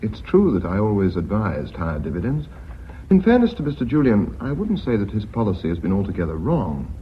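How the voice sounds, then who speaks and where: An actor playing a fair-minded, conciliatory company director in a radio drama, measured, courteous and formal. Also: male voice, on the low side, very animated. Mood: courteous, reasoned. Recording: dull, noisy radio recording.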